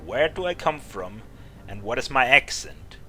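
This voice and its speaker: Bright-voiced Danish man in his twenties. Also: medium pitch, animated, a little slow, soft background music. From Denmark. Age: 20s. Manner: rambling, frequent fillers and restarts. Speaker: YouTuber. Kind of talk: casual Q&A vlog.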